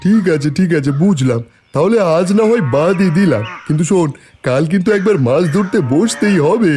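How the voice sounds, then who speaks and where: A man plays an easygoing, eager village man in a cartoon story. His voice sits fairly high and moves around freely as he chats, over background music. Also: lively intonation, a little fast, warm tone. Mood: eager, agreeable.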